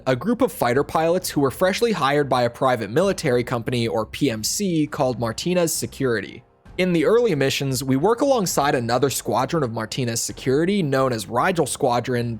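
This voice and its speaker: A man reading a scripted video essay voiceover as a game reviewer, slightly hoarse, explaining in a steady, upbeat way. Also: on the high side. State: slightly hoarse, near losing his voice.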